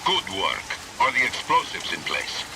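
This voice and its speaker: A stern old Russian mercenary leader in a cinematic game. His delivery is grave and commanding, with widely swinging intonation. Rain and storm noise sit clearly behind the voice. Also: medium pitch, sing-song, moderate pace. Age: older. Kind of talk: cinematic video game acting.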